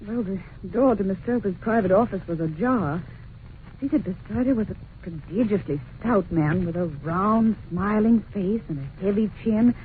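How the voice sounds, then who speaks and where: Actress as a nervous, stammering young governess, old-time radio, tinny. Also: female voice, medium pitch, lightly acted. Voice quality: hesitant, stammering, earnest. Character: timid young governess in need of work. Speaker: radio drama actor. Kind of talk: 1940s radio acting. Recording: tinny old radio recording with faint hiss.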